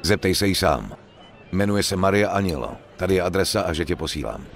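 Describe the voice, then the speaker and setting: An actor playing a cool, businesslike mobster in a dubbed game, terse, distrustful and authoritative. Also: male voice, deep voice, creaky, faint music behind. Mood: businesslike, stern. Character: cool, businesslike male mobster giving out jobs. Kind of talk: dubbed video game cutscene acting.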